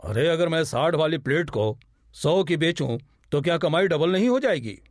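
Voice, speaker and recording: A man's voice: a little high, animated, moderate pace, no room sound, studio quality. A man voicing a greedy, boastful crow who sells biryani in a cartoon moral story, exclaiming and self-satisfied. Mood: indignant.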